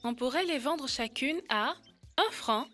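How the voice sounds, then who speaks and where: A young cartoon girl, high voice, sensible, bossy but friendly, teases her pal. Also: lively intonation, a little slow, pushed and bright, faint music behind, full character voice. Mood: confident. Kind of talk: French-dubbed educational children's cartoon acting.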